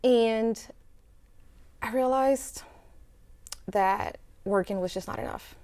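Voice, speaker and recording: A woman's voice: on the high side, slow pace, a little mellow. A young woman from El Salvador, a student guest in a studio interview, speaking earnestly and reflectively with fillers and restarts.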